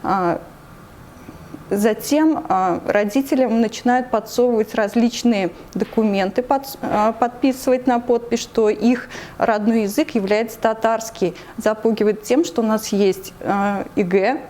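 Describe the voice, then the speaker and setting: A woman with a Tatarstan Russian accent, answering news interview questions in a measured way with frequent hesitations. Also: fairly high pitch, dark tone, slight background noise. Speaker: anti-corruption committee member, interview guest.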